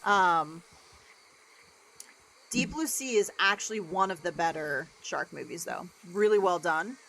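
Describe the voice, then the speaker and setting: A young adult woman with a deeper voice, livestreaming a game and chatting casually with lots of "like" and "um". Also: animated, measured pace, fairly bright tone, slight background noise, low-quality recording. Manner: rambling, chatty, many "like" and "um" fillers. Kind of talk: casual chat while gaming.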